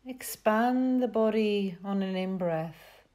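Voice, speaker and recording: A woman teaching yoga nidra, giving soothing, unhurried guided relaxation instructions with pauses between phrases. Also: mid-range voice, dark tone.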